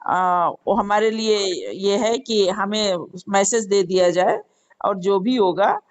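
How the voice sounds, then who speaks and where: A woman's voice: mid-range voice, takes it slowly, smooth. A woman on a phone call, a customer who speaks up for other customers. She is earnest and polite. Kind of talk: phone conversation.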